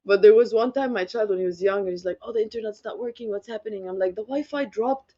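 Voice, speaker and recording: A woman's voice: medium pitch, fast talker, dark tone. Bubbly egyptian-accented woman, arabic first language, laughs a lot, kinda muffled audio. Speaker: guest, tech founder. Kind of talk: casual interview chat.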